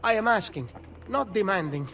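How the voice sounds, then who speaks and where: An actor playing a fearful, servile retainer in a video game, with an anxious, pleading manner. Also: male voice, high-pitched, lively intonation, moderate pace, bright, forceful tone, distant mic, full character voice. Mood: pleading, afraid.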